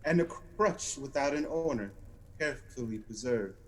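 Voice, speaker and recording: A man's voice: medium pitch, expressive, normal speed, slight background noise, close to an everyday voice, rough recording. A man playing a grave, stern Christmas spirit in a radio-style audio play, speaking in a declamatory way. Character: solemn, stern ghostly Christmas spirit guiding a miser.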